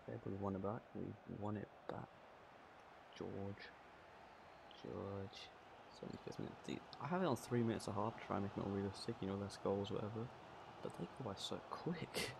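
Male gaming host, croaky and gravelly, excitable play-by-play over a noisy recording. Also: on the low side, fairly quick. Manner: excitable, swears and laughs at own play. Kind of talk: casual game commentary.

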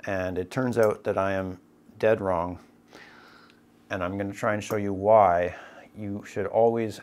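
Lower-pitched man, patient instructor talking through a rope demo, brief asides to the viewer. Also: darkish tone. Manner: explanatory, step-by-step, frequent "right?" checks. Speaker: climbing instructor. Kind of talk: tabletop demonstration lesson.

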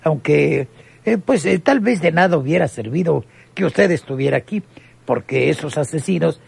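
A small-town mayor in a radio drama, heavily creaky and hoarse-edged. He talks in stiff official phrases broken by constant hems, his pitch swinging widely. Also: animated, normal speed, a little mellow, exaggerated voice. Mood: solemn, sympathetic. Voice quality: gravelly, husky. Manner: constant "eh" hesitations, stammering officialese. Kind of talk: old radionovela acting.

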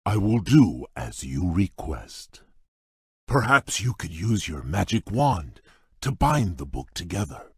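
An actor playing a large, shy, polite beast prince in a video game, speaking in a courteous, formal, earnest way with a husky voice. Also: male voice, a little mellow, dry recording, clean studio sound, exaggerated voice. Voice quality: deep husky voice. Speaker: game character. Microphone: dry close studio mic.

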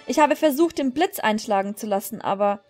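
Bitter young horsewoman for a fantasy game, read aloud by a woman: brooding, guilt-laden, higher-pitched, music underneath. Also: lively intonation, a little fast, darkish tone, natural voice. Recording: music behind the voice.

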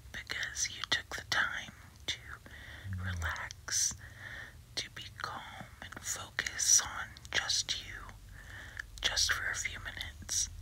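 Female ASMR meditation guide needed. Hushed, breathy whisper, slow pacing, gentle and reassuring delivery. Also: very deep voice, low-quality recording. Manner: soothing, gentle, unhurried coaxing.

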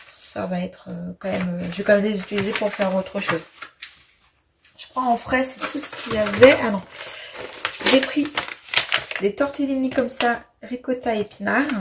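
A woman in her twenties chatting casually through a grocery haul in her home kitchen, unhurried, with many fillers and hesitations. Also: a little high, noisy background. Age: 20s. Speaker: vlogger. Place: bright home kitchen, indoors.

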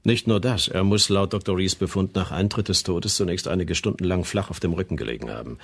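Deep, husky pathologist. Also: male voice. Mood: clinical, firm. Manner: measured, clinical, explanatory. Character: precise, sceptical male pathologist reporting autopsy findings. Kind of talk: German radio crime drama acting.

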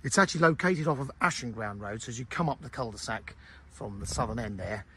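A hoarse man, an estate agent chatting informally through a walking tour of a house, with fillers and restarts. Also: mid-range voice, quick pace, bright, forceful tone, slight background noise. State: hoarse, lost his voice. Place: outdoors in a cul-de-sac, then inside a bungalow and garden.

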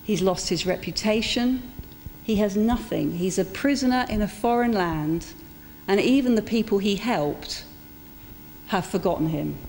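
A middle-aged woman giving an informal, reflective sermon in a conversational storytelling style, with pauses for emphasis. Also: mid-range voice, a little mellow. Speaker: preacher. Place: church interior, speaking to a congregation. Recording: echoey church hall with background noise.

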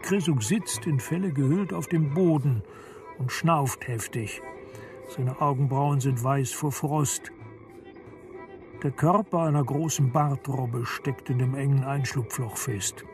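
A man reading literary narration for a radio play in a measured, deliberate storytelling manner. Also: mid-range voice. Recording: studio narration over prominent music. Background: music.

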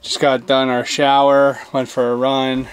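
A young man with a mid-pitched voice talks casually to camera as a travel vlogger. He sounds laid-back yet enthusiastic, using plenty of slang, with some ambient noise around him. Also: completely flat delivery, a little slow. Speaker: travel vlog host.

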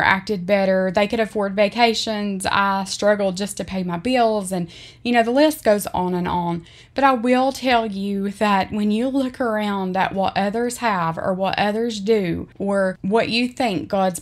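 A middle-aged woman with a Southern American accent, earnestly narrating her home vlog and reading a devotional. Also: medium pitch. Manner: earnest, heartfelt, plain-spoken. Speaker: vlogger.

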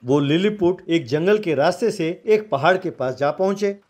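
Male narrator, slightly high pitch, scripted storytelling, music underneath. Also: animated, normal speed, darkish tone.

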